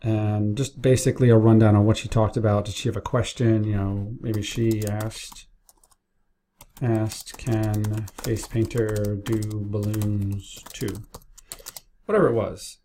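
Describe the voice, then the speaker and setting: Very dark-voiced man giving casual tutorial. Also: lowish voice, flat delivery, unhurried, slight background noise. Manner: informal, step-by-step explaining with repetition for emphasis. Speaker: business owner training staff. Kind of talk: casual screen-share tutorial narration. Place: indoors at a computer, keyboard and mouse clicks.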